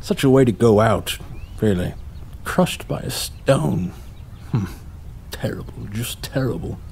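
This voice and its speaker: An actor plays a folksy foreman with a greedy streak. He talks in a gravelly, drawn-out way, and faint noise sits behind him. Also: mid-range voice, lively intonation, a little mellow. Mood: somber. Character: gruff working foreman, greedy old friend. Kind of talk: audio drama acting.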